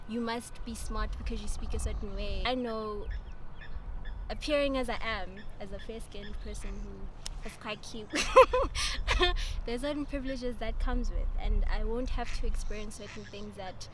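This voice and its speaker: A woman's voice: fairly high pitch, measured pace, background noise. A woman in her twenties from South Africa, speaking in a documentary interview, thoughtful and frank, laughing at herself now and then. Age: 20s.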